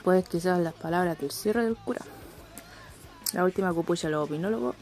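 A Chilean woman acting a brash, cynical teenage schoolgirl who gives slangy instructions in a radio play, with a creaky voice. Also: fairly low pitch, fairly quick, darkish tone, faint music behind, close to an everyday voice. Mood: cynical, bossy. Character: brash teenage schoolgirl coaching a dramatic suicide.

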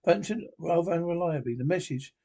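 A foppish young aristocrat sidekick, read by a man, formal and fussy in a soft, mellow voice. He sounds slightly husky. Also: a little high, animated, fairly quick.

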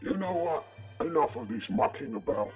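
Wildly swooping, slurred British supervillain. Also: male voice, on the high side, very animated, normal speed, soft background music. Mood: menacing, indignant. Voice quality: thick, slurred delivery. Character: foul-mouthed British comic supervillain, indignant and menacing. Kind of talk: superhero parody audio drama.

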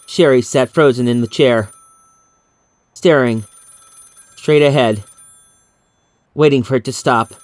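A man's voice: mid-range voice, slow pace, pauses often, a little mellow, no echo. A man reading a horror story as an audiobook narrator, in a dramatic scripted style.

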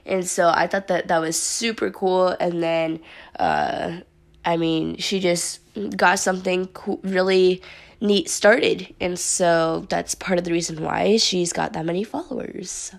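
Young woman, podcast host, casual and upbeat, hoarse from a sore throat, gravelly fry. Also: lowish voice, steady tone, unhurried. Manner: chatty, upbeat, filler-heavy. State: sore throat, slightly raspy voice. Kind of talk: casual solo podcast talk.

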